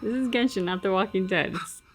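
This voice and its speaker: A woman's voice: medium pitch, brisk, a little hiss or hum. A woman co-hosting a reaction video, chatting casually over a game. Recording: game music underneath.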